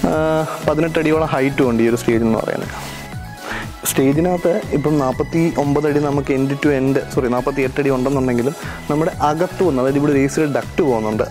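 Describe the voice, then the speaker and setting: A man with a Kerala Malayali accent giving a casual, practical venue walkthrough as an event planner and vlog host, mixing in English terms. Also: medium pitch. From Kerala, India. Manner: explanatory, practical, peppers talk with English terms.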